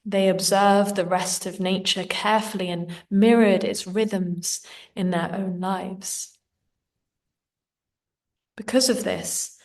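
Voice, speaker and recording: Slow-speaking woman guiding a meditation, slightly distant. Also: medium pitch. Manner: gentle, soothing, unhurried delivery. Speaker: meditation guide. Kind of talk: slow guided meditation narration.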